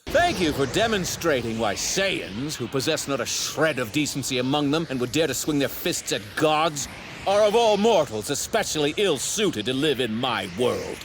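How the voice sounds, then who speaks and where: An actor playing an arrogant, righteous god villain in an anime dub, with pompous, theatrical, formal delivery. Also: male voice, medium pitch, very animated, normal speed, slight background noise. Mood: haughty contempt. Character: arrogant male god villain, righteous zealot who despises mortals. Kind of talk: dubbed anime villain acting. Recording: sound effects bleeding behind voice.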